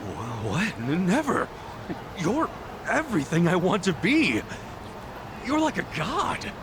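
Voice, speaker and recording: An actor voicing a brash, earnest young yakuza underling with rough, slangy speech in an English-dubbed game. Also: male voice, high voice, wildly swooping pitch, slow pace, noisy background, full character voice. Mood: flustered, adoring. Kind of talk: English-dubbed game cutscene dialogue and narration.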